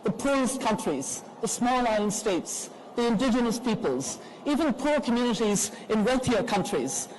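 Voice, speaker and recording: Older female moderator, high voice, earnest and measured, pauses often. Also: expressive, a little mellow, slight background noise, poor audio. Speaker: panel moderator. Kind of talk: conference panel moderation. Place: conference hall panel.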